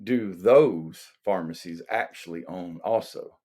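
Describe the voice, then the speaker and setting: A man's voice: low-pitched, takes it slowly, dark tone. Musing Southern man in casual chat. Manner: loose, musing, hesitant with fillers. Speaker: podcast co-host.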